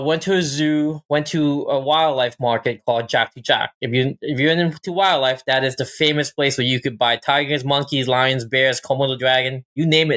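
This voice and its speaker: Male podcast guest from Thailand, mid-pitched, casual storyteller. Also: a bit bright. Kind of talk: casual personal storytelling and chat.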